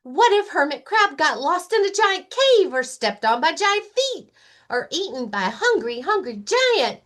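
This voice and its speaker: Excitable young rabbit performed by a woman, high and swooping, eager, imaginative, for children's storybook. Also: a little slow, full character voice. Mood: worried. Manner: eager exclamations and anxious questions.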